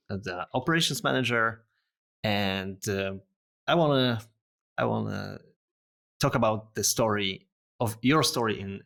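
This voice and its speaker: A man's voice: on the low side, creaky, a bit bright. A man hosting a casual interview, asking questions in a friendly, hesitant way with many fillers. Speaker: podcast host.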